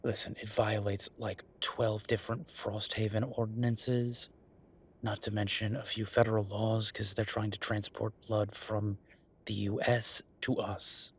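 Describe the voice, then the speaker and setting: A man's voice: on the low side, little pitch movement, unhurried, a bit bright, slightly rough, exaggerated voice. A man playing a wry, affectionate werewolf bureaucrat in an intimate roleplay audio drama, talking in a casual, teasing, conversational way. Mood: wry, concerned.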